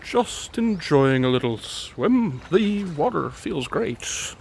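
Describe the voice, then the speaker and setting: A smug, eccentric male detective in a radio drama. He speaks slightly high, breezy and self-assured, correcting people pedantically, over faint background ambience and noise. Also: sing-song, slow pace, creaky, a little mellow, exaggerated voice. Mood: nonchalant.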